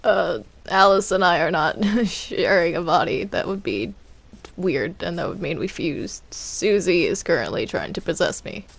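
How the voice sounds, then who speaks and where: Woman, slightly low creaky voice, hesitant delivery, improvised game roleplay. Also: lively intonation, a little slow. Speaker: Minecraft roleplay player.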